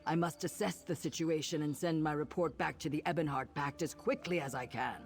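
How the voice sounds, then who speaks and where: An actor voicing an earnest, seasoned reptilian warrior envoy in a fantasy video game, speaking in a measured, formal way. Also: male voice, fairly high pitch, steady tone, faint music behind, close to an everyday voice. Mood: grave, resolute.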